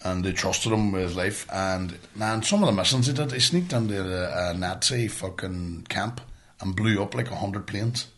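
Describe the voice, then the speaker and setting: A Northern Irish man co-hosting a podcast, telling stories in a casual, animated, sweary and rambling way. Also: sits low, moderate pace. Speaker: podcast co-host. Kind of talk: casual storytelling banter, sweary.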